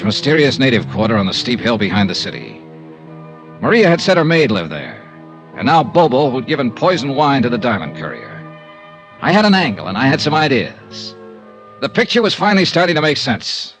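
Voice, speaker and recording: A tough American private investigator from a 1950s radio noir. The delivery is clipped and sardonic, with an American accent and lively swings of pitch around a mid male register, and some music behind it. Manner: clipped, hard-boiled, sardonic.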